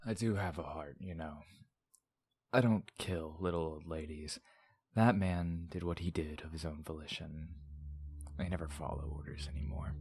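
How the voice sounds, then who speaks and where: An actor playing a smug, manipulative villain in an audio drama, breathy and teasing, often dropping into a menacing whisper with mock politeness. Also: male voice, very deep voice, normal speed, darkish tone. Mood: smug, defensive.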